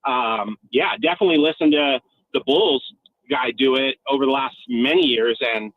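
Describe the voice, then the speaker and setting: Male radio guest, announcer type: lively, swooping delivery, dry close sound a little muffled, joking at his own expense. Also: medium pitch, normal speed, creaky, dry recording. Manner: self-deprecating, jokey. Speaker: sports arena announcer, radio show guest. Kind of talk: casual chat on a radio show.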